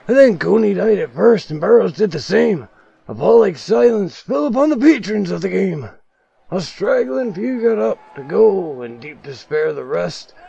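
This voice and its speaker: Male poetry reader, theatrical and emphatic, very gravelly, with music and crowd sounds behind. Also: high-pitched, muffled recording. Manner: emphatic, swings between loud declaiming and hushed drawn-out phrases. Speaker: reader of a classic poem. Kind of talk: theatrical dramatic poetry recitation.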